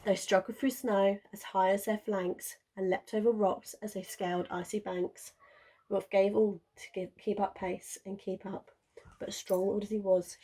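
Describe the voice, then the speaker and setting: A teacher reading a rhyming bedtime storybook aloud in a gentle, rhythmic way. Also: female voice, mid-range voice, slight background noise, poor audio. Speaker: primary school teacher.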